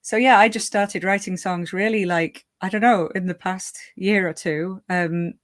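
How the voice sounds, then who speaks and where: A woman's voice: mid-range voice, fairly even intonation, normal speed. A British woman in her forties hosting a casual livestream chat, laughing often and using lots of fillers. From Britain. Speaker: livestream show host.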